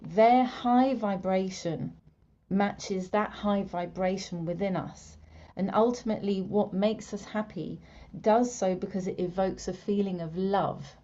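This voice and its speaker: Mellow, unhurried woman, soft reflective delivery, dull call audio. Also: medium pitch, fairly even intonation. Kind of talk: reflective talk on a video call. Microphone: video call. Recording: muffled video call audio.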